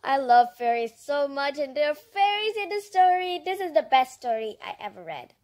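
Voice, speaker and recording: Very high-pitched, animated female storybook reader. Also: moderate pace. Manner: childlike, enthusiastic, exclaiming. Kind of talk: reading a picture book aloud with chatty asides.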